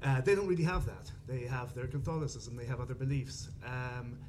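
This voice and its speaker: A man's voice: mid-range voice, fairly quick, darkish tone, slight background noise. An Irish man with a Dublin accent, talking discursively and hesitantly as an author on a panel. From Dublin, Ireland. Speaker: panellist, crime writer.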